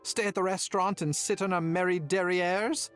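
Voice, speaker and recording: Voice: medium pitch, fairly even intonation, normal speed, a bit bright, soft background music, close to an everyday voice. A voice actor playing a wry, sarcastic kitchen staffer. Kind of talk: video game voice acting.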